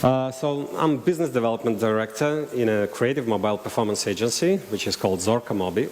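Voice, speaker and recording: A man with a Russian accent giving a conference talk, halting with many fillers and restarts. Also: mid-range voice, a little mellow. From Belarus. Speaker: conference speaker. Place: conference hall. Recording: hall reverb, faint hiss.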